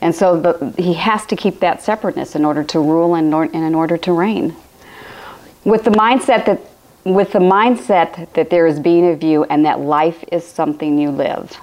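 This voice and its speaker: A woman from Illinois with a raspy, cracking voice, giving a rambling, repetitive spiritual talk on a live stream at home. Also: lowish voice, normal speed, a little mellow. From Illinois, USA. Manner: rambling, repetitive phrasing with frequent restarts. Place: home room with a fireplace, indoors.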